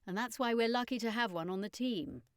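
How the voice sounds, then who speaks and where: A woman's voice: fairly high pitch, lively intonation, a little fast, smooth, no echo, studio quality, voice pushed up. A woman voicing an assured male circus master in an audiobook, explaining and leading in a commanding manner. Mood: assured, businesslike.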